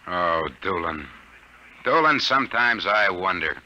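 A low-voiced, hard-boiled private eye, sardonic and bossy. He gives clipped orders with a very bright, forceful edge, in the manner of vintage 1950s radio drama. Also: expressive, a little slow, muffled recording, a little hiss or hum, heavily acted. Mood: exasperated, bossy. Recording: vintage 1950 radio broadcast.

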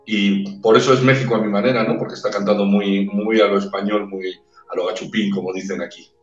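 A man from Spain with a Castilian accent talks casually as an interview guest, often repeating words while he gathers his thoughts. His voice sits fairly low. He sounds roomy and distant, as if on a video call set up away from the mic. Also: little pitch movement, brisk, lo-fi sound. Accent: Spanish from Spain. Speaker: opera-trained ranchera singer, guest. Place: indoor room with brick wall. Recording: echoey room, far from the mic, faint music.

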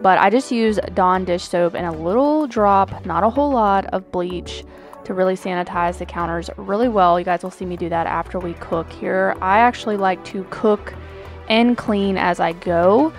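A woman in her thirties giving a relaxed, chatty voice-over with many fillers. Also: mid-range voice, moderate pace. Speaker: homemaking channel host. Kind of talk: casual voice-over narration. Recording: voice-over with faint music underneath.

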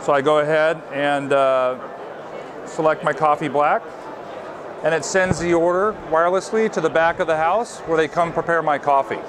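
A man's voice: normal speed, background noise. A man in his thirties gives a polished, upbeat product demo as a marketing director, recorded by a nearby camera on a busy trade show floor. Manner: upbeat, polished sales pitch. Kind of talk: scripted product walkthrough.

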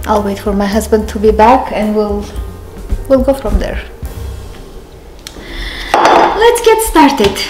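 Chatty Armenian-born woman in an echoey kitchen. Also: on the high side. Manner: chatty, rambling, self-correcting, laughs at herself. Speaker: cooking show host. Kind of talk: casual cooking demonstration. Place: large bright home kitchen. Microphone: handheld camera close up, then camera on counter.

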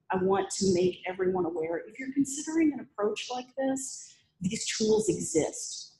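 A woman's voice: medium pitch, gravelly. A woman giving a chatty, humorous talk to a meetup audience and taking questions. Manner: lively, chatty, self-deprecating humor. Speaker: conference speaker. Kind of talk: presentation talk with Q&A. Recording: echoey room.

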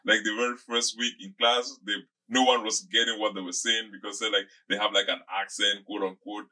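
Male interviewer, thirties, podcast studio. Forceful, sharp-edged tone, wordy and conversational, repeats himself while forming questions. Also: lowish voice, flat delivery, fairly quick, rough recording. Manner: wordy, repeats phrases as he thinks aloud. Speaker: podcast host. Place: small indoor podcast studio. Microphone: desk microphone close up, with headphones.

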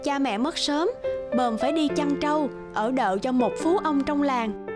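A woman's voice: sits high, unhurried, over loud music. A woman narrating a fairy tale from a script in storytelling style.

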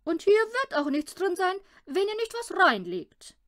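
A high, swooping voice for a smug little showman magician from a children's storybook, acted out by a woman reader. He announces everything grandly, stretching words out with great self-importance. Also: very animated, measured pace, fairly bright tone, exaggerated voice. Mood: pompous.

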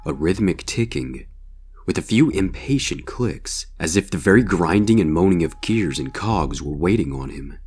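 A man's voice: low voice, animated, normal speed, a little mellow, breathy edge. A man reading a scripted horror story as its narrator.

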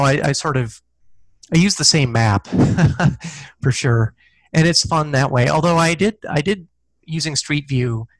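A man's voice: mid-range voice, no echo, low-quality recording. A middle-aged man, an author guest, chatting casually in an interview over a video call, with many easy fillers. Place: small room with a corkboard. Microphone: headset mic on a video call.

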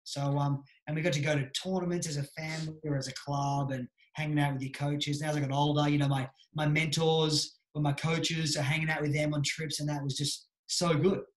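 Aussie guy on a webcam call, bit higher voice, echoey room, rambly and easygoing. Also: little pitch movement, brisk, hardly any pauses. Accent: Australian. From Australia. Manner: chatty, easygoing, many fillers. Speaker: guest, judo coach. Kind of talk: casual interview chat. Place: small room at home. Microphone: webcam video call.

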